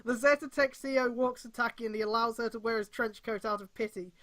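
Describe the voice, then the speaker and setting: A woman's voice: fairly high pitch, fairly even intonation, fast talker, a bit bright. A woman reading fan fiction aloud on a stream, breaking off with exasperated mocking comments. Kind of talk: live dramatic read-aloud with mocking commentary.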